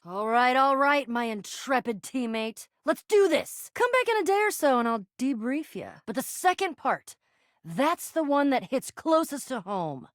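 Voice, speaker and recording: High, animated, plucky adventurer. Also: female voice, dry recording, clean studio sound. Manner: theatrical, grandiose wording mixed with giddy slang and stammers. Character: plucky, eager living cardboard box adventurer and self-styled noble thief. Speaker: video game voice actor. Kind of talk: animated game character dialogue.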